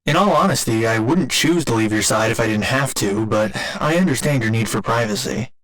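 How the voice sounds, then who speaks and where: Guy playing an earnest robot boyfriend, asmr roleplay, mid pitched male voice, gentle n formal, measured wording. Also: lightly acted, rough recording. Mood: fond, polite. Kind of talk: ASMR roleplay, scripted intimate monologue.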